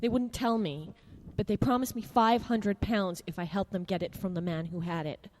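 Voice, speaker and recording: Casting: an actress as a slippery femme fatale, halting and evasive, with a mid-pitched voice and a long reverb. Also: female voice, a little mellow, close to an everyday voice. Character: beautiful, treacherous young woman, evasive. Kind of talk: radio drama acting.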